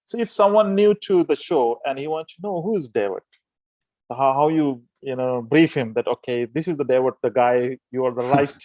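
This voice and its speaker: A man hosting a tech podcast interview, halting and repeating words as he forms his questions. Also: mid-range voice, a little mellow, dry recording, rough recording. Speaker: podcast host.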